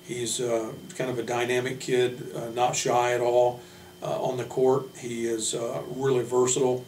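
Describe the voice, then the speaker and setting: A man speaking as a basketball coach, giving informal player introductions in a measured, hesitant way with frequent pauses. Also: medium pitch, monotone, darkish tone. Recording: roomy, distant mic, faint hum.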